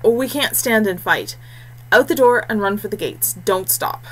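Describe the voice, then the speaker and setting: A woman's voice: medium pitch, lively intonation, a little slow, fairly bright tone, breathy edge, rough recording. A woman voicing an earnest young male warrior heir, forthright and fiery, in a fantasy audiobook. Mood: urgent.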